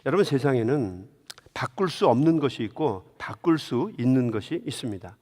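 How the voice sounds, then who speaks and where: A man's voice: medium pitch, expressive, moderate pace, a little mellow. A man preaching a sermon as a pastor, telling stories and putting rhetorical questions directly to his congregation in a church. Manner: engages listeners with direct questions. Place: large indoor church sanctuary. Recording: long hall reverb of a church.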